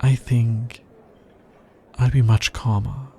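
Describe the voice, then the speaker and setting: A man playing a tender, earnest alien scientist in an intimate ASMR romance roleplay, soft-spoken and measured. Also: medium pitch, level tone, a little hiss or hum. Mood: calm, affectionate. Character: smitten male alien scientist, earnest, tender, fascinated by humans.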